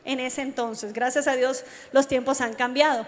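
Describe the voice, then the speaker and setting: High-voiced Colombian woman preaching in reverberant hall. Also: normal speed. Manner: animated storytelling, addresses listeners directly. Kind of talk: youth sermon with personal testimony. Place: large reverberant meeting hall. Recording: long hall reverb from a sound system.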